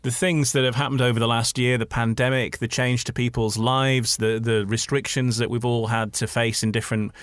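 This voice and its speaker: A man's voice: medium pitch, level tone, normal speed, few pauses, clean tone, no room sound, clean studio sound. A man hosting a conversational radio interview, building wordy questions with repeated words.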